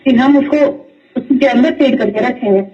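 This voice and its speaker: A woman official calmly explaining procedure on a recorded phone call. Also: high-pitched, moderate pace, breathy edge, distant mic. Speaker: election official. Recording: dull call recording played from afar.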